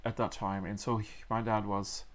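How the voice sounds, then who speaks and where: A man in his forties from Mexico with a Mennonite Low German accent, Low German his first language, talking hesitantly as a guest in a casual interview with many fillers and restarts. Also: level tone, moderate pace, a little hiss or hum. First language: Plautdietsch. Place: small wood-paneled room indoors.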